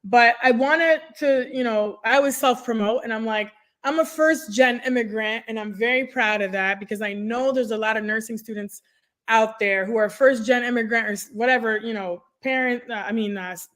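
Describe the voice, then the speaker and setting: Lively French-native woman on a video call. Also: a little high, normal speed. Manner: energetic storytelling, expressive hands. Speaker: guest, nurse career coach. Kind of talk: conversational podcast interview. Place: home room, indoors. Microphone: desk microphone on video call.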